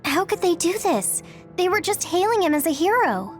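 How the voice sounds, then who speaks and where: High-voiced, caring girl teammate. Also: very animated, normal speed, soft background music. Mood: worried. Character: caring young female teammate, soft-hearted and anxious. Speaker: video game voice actor. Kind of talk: anime game cutscene dialogue.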